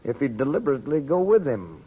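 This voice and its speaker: Earnest, deliberating uncle, old-time radio drama, husky, lively inflection, thin phone-like recording. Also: male voice, medium pitch, fast. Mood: pondering, serious. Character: Uncle Frank, earnest, steady, deliberating adult man. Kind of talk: 1940s radio drama acting.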